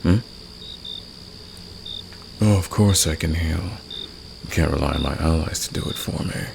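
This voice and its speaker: Very deep, gravelly, flirtatious fantasy villain, acted by a man. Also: measured pace, full character voice. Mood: gentle, caring. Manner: teasing, seductive, soft-spoken. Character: flirtatious, teasing male fantasy villain, secretly caring rebel. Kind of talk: intimate ASMR roleplay addressed to the listener. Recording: noisy, with nighttime crickets and insects. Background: cricket and insect night ambience.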